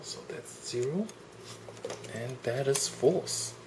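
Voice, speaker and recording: A man's voice: mid-range voice, slow pace, background noise. A man with a cold reviewing a toy in a casual, gushing, enthusiastic way, recorded indoors on a camera mic. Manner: enthusiastic, gushing, repeats "awesome" and "really". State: has a cold.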